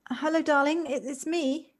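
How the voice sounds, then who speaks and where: A woman's voice: sits high, steady tone, moderate pace, natural voice. A woman acting in an audio play as an anxious, polite newcomer to the countryside, speaking in hesitant, formal, wordy sentences. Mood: flustered, musing. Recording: home recording on personal device, noisy.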